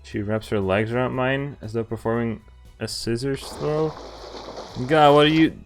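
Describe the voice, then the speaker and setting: Guy streaming a visual novel, playful and reactive, reads lines out loud, medium pitch, bit of music behind. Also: moderate pace. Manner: playful, reactive, acts out dialogue. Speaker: game streamer. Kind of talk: reading a visual novel aloud with casual commentary. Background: game music.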